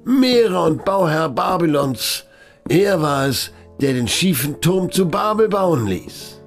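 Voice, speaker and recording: A man plays a genteel, pompous private collector in an audio drama. His fairly high voice swings widely and takes its time, with effusive, fussy hesitations. Light music plays behind him. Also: animated, vocal fry, heavily acted. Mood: reverent, boastful. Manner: pompous, hesitating, drawn-out exclamations and chuckles. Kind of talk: German audio play acting.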